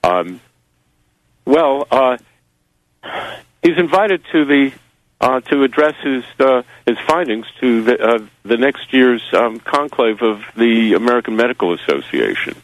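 A man who publishes a magazine, guesting on a radio interview and rambling through halting answers full of fillers and wry asides. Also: mid-range voice, animated, rough recording. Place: New Zealand.

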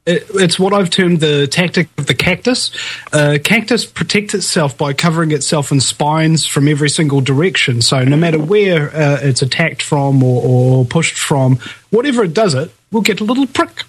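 A man with a New Zealand accent and a husky voice, hosting a radio talk show in a casual interview style. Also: on the high side, moderate pace.

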